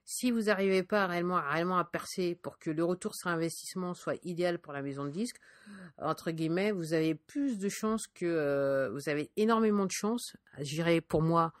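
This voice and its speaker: A woman's voice: lowish voice, a little fast, no room sound, clean studio sound. A woman from France, an independent musician, talking casually in a monologue full of fillers and restarts. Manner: hesitant, many fillers and restarts.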